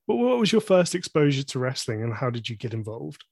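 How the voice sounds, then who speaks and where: A man hosts a casual podcast interview at a middle pitch, enthusiastic and full of quick reactions. His voice is recorded dry and close to the mic. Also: sing-song, gravelly, a little mellow, no echo. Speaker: podcast host.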